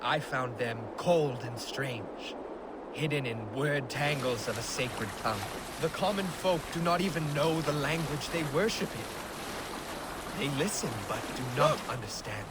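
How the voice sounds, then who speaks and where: An actor playing a grim Viking raider, telling tales with brooding, deadpan dark humour in a game. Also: male voice, mid-range voice, a little slow, close to an everyday voice. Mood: disdainful. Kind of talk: dramatic oral storytelling. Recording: loud water and boat noise behind. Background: boat on water.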